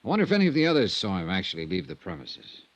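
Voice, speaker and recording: Casting: cool, persistent private eye, animated delivery, clipped and probing, dull old-time radio recording. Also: male voice, sits low, rapid-fire, dry recording, heavily acted. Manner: clipped, probing questioning and dry first-person narration. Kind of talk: 1950s radio detective drama acting and narration.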